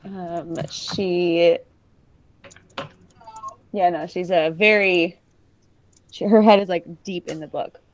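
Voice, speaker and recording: Slow-paced woman game master. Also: fairly low pitch, steady tone, poor audio. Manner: hesitant, pausing between phrases. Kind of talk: improvised tabletop game narration.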